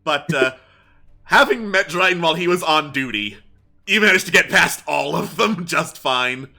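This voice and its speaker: Male game master, forceful bright voice, narrating tabletop adventure over faint music. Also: a little high, animated, moderate pace, vocal fry.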